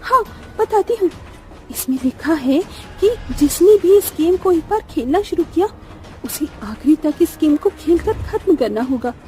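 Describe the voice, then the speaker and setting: Woman acting a very high, mellow young heroine. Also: lively intonation, unhurried. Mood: explaining, anxious. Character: young woman in a cartoon horror tale. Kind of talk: cartoon voice acting.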